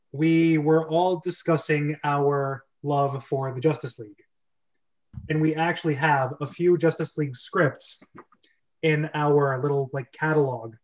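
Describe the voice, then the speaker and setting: A man hosting a casual livestreamed group chat about scripts, talking in an informal, rambling way. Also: fairly high pitch. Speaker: host of an online script reading club. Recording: dull, lo-fi online stream audio.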